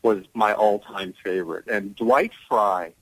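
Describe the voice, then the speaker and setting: A man's voice: lowish voice, unhurried, no echo, low-quality recording. A middle-aged American man from the Chicago area, an actor telling anecdotes as a radio guest, effusive and dramatic in his emphasis. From Chicago area, United States. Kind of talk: radio interview, anecdotal storytelling.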